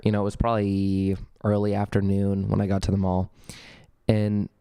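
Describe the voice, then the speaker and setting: Low, smooth young man with a cold. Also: darkish tone, no room sound, clean studio sound. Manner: rambling, many ums and restarts. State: slightly gravelly from a cold. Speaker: podcast host. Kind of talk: casual solo podcast talk. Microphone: studio vocal mic.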